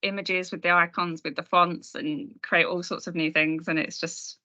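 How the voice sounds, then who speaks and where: A woman's voice: fairly low pitch, little pitch movement, normal speed, sharp tone. A woman chatting informally and self-deprecatingly as a speaker at an online meetup, over a video call. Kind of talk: informal Q&A chat about design software. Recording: dull, compressed online call.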